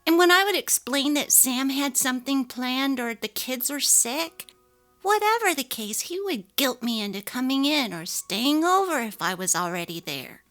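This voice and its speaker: An earnest young mother, acted by a woman, speaks in a high, crisp-edged bright voice. She is resolute and emphatic, heartfelt in her dramatic story reading, with music playing softly behind. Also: expressive, moderate pace. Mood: annoyed.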